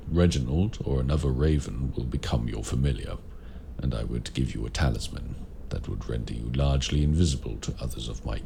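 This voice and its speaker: Man as a very deep, gravelly warlock. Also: background noise. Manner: formal, measured, deliberate phrasing. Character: ancient male warlock in raven form, courteous, grave mentor. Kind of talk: audio roleplay addressed to the listener.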